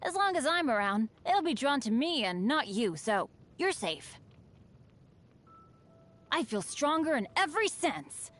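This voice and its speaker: An eager teenage boy adventurer from a video game, with a high, boyish voice. He talks casually and earnestly, swinging freely up and down in pitch, humble and cheerful about his rotten luck. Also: very animated, a little slow, fairly bright tone, heavily acted. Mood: reassuring. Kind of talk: video game character voice lines.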